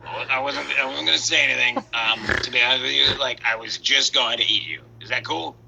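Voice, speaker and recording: A man playing a hungry, hapless Big Bad Wolf in a comic children's story, hesitant and rambling with deadpan asides. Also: medium pitch, fairly quick, gravelly, background noise, close to an everyday voice. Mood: sheepish.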